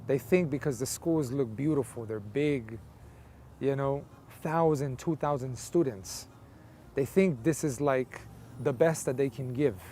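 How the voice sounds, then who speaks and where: A man's voice: medium pitch, measured pace, warm tone, a little hiss or hum. A man from Colombia, interviewed, telling his life story in a reflective, casual way, often using "like" as a filler. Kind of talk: personal testimony, casual storytelling.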